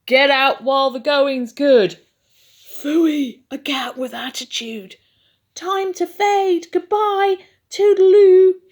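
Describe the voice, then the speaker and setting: A woman's voice: high-pitched, slow pace, darkish tone, pitched above the performer's own voice. A woman voicing cheeky, gleeful little mice in a children's story, with an exclamatory, cheering manner. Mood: cheeky alarm.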